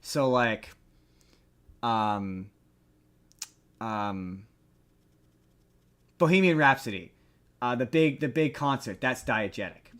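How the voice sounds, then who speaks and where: A man's voice: mid-range voice, lively intonation, takes it slowly, long pauses, fairly bright tone. A man hosting a casual pop-culture discussion, talking in a rambling way and repeating words for emphasis. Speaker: podcast host.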